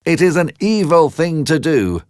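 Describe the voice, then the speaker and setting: A man's voice: on the high side, big pitch swings, normal speed, a little mellow, clean tone, dry recording, studio quality, pitched above the performer's own voice. A man voicing an earnest, questioning young fisherman in a bedtime storybook tale, eager and easily led. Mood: indignant.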